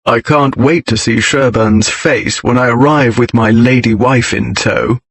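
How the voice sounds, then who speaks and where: A man's voice: mid-range voice, steady tone, unhurried, breathy edge, no room sound, pitched below the performer's own voice. A man voicing a brooding, proud nobleman in an audiobook, speaking in clipped, formal, dryly witty period style. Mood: dry, amused. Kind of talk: audiobook character voice.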